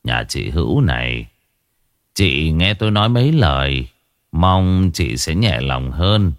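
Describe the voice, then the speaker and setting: A man voicing a calm, wise old village man who speaks slowly and gently. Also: very deep voice, dry recording, studio quality, exaggerated voice. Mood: gentle consoling. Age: older. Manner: slow, gentle and measured.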